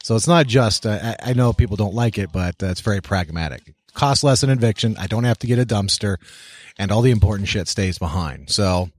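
A man talking casually and informally as a guest on a panel outdoors. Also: on the low side. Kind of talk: casual chat. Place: outdoors by a bonfire.

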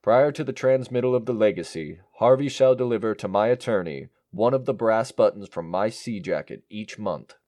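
An actor playing an unflappable male attorney in an audio drama, speaking in a measured, officious way. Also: on the low side, animated, dark tone, dry recording, clean studio sound, natural voice. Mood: formal, declarative. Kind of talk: audio drama acting, reading a will.

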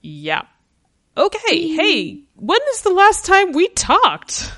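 A woman's voice: very high voice, wildly swooping pitch, slow, fairly bright tone, no echo, clean studio sound. A woman hosting a casual podcast chat, talking frankly and laughing often. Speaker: podcast host.

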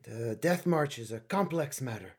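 A man acting in a radio play as an authoritative, conflicted middle-aged colonel and father, husky and emphatic. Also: mid-range voice, lively intonation, normal speed, a little mellow. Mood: tense, weary.

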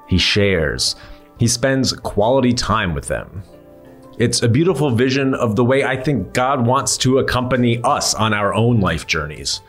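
Calm, measured male podcast host. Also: mid-range voice. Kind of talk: scripted podcast intro and interview.

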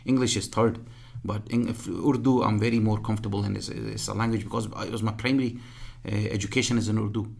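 A man in his fifties from Pakistan, reminiscing in an interview in a halting way full of fillers. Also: lowish voice, steady tone, gravelly, darkish tone, husky. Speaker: guest.

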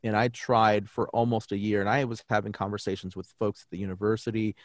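A man's voice: fairly low pitch, level tone, quick pace, dry recording, studio quality. A young adult man, a native English speaker who teaches German, talking earnestly and reflectively in a podcast interview, often restarting his sentences.

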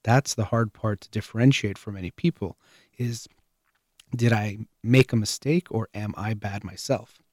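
Mellow-voiced man giving parenting advice. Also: on the low side, normal speed, dry recording, clean studio sound. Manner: calm, explanatory, uses everyday analogies. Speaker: show host.

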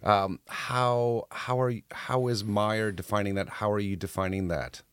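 A man interviewing a guest, framing his questions haltingly with many repeated words. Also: lowish voice, steady tone, fairly bright tone, clean tone, no echo, studio quality.

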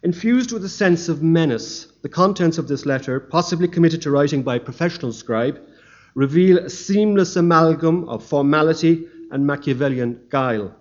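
A man giving a formal academic history lecture, reading from a prepared paper in a measured way with the odd stumble. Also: a little high, a little mellow, highs cut off.

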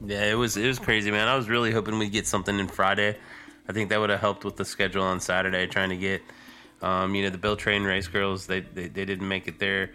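A man's voice: low-pitched, level tone, fast, sharp tone, faint music behind. A man co-hosting a podcast in casual, dude-peppered chat, sounding nasal and congested from a cold. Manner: informal, peppers talk with "dude". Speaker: podcast co-host. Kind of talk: casual chat about motorcycle racing.

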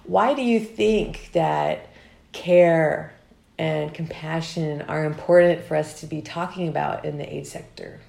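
A woman interviewing a guest on a podcast, asking friendly, measured questions. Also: low-pitched, creaky, mellow. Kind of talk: conversational interview. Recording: echoey room.

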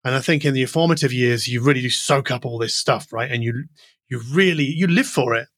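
A man's voice: medium pitch, brisk, fairly bright tone, no room sound. Middle-aged man, very dry close sound. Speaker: podcast host interviewing an audio engineer. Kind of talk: conversational technical interview.

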